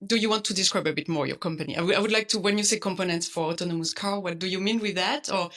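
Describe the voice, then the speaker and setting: A woman hosting an interview podcast over a video call, friendly and quick to laugh. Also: lowish voice, fairly bright tone. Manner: friendly, laughs often, light fillers.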